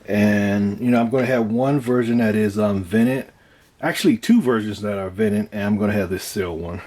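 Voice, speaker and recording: A man giving a casual, hands-on demonstration of his own DIY project, talking in a halting, unscripted way as he thinks aloud, with some noise in the recording. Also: on the low side, darkish tone. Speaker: DIY speaker builder presenting own project.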